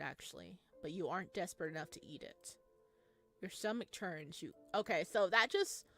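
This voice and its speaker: A woman's voice: medium pitch, a bit bright. A woman doing a casual let's-play, reading game narration aloud dramatically and commenting as she goes. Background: music.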